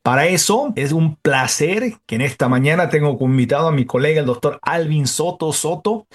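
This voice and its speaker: A man's voice: on the high side, a little fast, husky. A man hosting a health interview program, introducing topics and welcoming his guest in a chatty way. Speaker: health show host.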